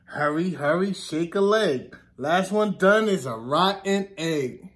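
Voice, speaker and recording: Slow, high-voiced man reading to children. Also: expressive, fairly bright tone. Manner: sing-song, deliberate, stressing repeated words. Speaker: teacher reading aloud to children. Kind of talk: children's picture book read-aloud. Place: classroom, indoors.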